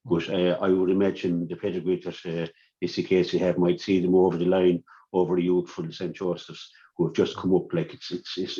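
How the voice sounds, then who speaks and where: A man's voice: sits low, dark tone. A man giving a sports preview on radio, stumbling and repeating words as he talks. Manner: halting, many repeated words and restarts. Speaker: sports analyst. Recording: dull lo-fi radio sound.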